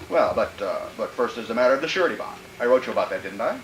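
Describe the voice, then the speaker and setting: Radio thriller villain, male actor: polite, deranged, repetitive employer with very animated pitch, heard in a reverberant room. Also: fast, a little hiss or hum, close to an everyday voice. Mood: oily, wary. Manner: fussy, repetitive, muttering to himself. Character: sinister, gleefully deranged male killer posing as fussy polite employer. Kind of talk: old-time radio thriller acting.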